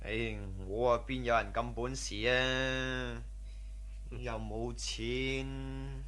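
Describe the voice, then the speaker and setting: Eager underling with a bright voice. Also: male voice, medium pitch, flat delivery, extremely slow. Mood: doubtful, reassuring. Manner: deferential, easily impressed, chummy. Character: humble, eager casino underling, admiring younger male cousin. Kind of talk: Cantonese radio drama acting.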